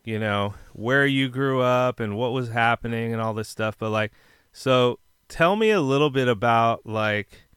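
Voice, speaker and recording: A man's voice: medium pitch, unhurried, fairly bright tone, clear, resonant tone, dry recording, studio quality. A man, a punk musician, chatting casually and asking questions in a laid-back, filler-heavy way. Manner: laid-back, lots of fillers, frequent yeahs. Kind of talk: casual podcast chat.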